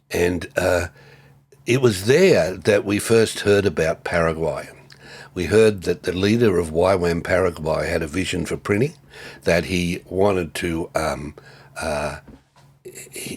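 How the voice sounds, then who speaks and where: An older man, giving a reflective tribute in a halting way with many fillers and restarts. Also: low voice. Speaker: former ministry co-worker.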